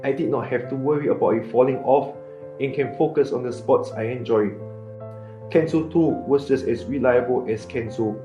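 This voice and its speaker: A man in his twenties gives a scripted user testimonial at a medium pitch. The recording is roomy and a bit dull, with clear music behind him. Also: normal speed. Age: 20s. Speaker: product user giving testimonial.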